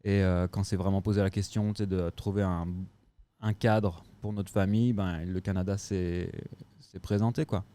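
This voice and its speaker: A French man with a light Québécois touch, telling his story in a casual chat as a podcast guest, with lots of pauses and filler sounds. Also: low-pitched. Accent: French with a picked-up Québécois touch. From France. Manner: relaxed, lots of filler hesitations. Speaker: guest, co-owner of a restaurant.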